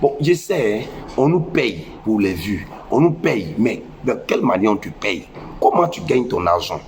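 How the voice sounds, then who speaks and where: A man's voice: fairly low pitch, sing-song, moderate pace, a little mellow, slight background noise. A young man with a West African French accent and a head cold, lecturing parents in a preachy, scolding way and repeating phrases for emphasis. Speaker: social media commentator. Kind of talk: impassioned moralizing monologue.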